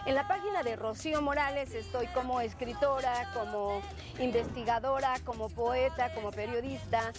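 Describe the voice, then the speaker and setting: A woman with a high voice whose first language is Zapotec answers an interviewer about her work as a singer and healer. She talks earnestly, often restarting and repeating words while she searches for them. Music plays steadily beneath her voice. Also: normal speed. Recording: music playing under the voice.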